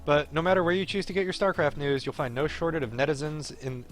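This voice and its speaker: A man streaming a game, reading its text aloud in an expressive, wry way with casual asides. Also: fairly high pitch, fast, faint music behind. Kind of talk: live playthrough, narrating text with casual asides.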